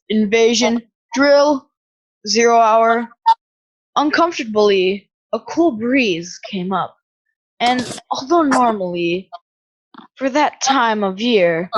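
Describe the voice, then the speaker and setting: A woman narrating a scripted drama in a deliberate, suspenseful storytelling style. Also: medium pitch, muffled recording.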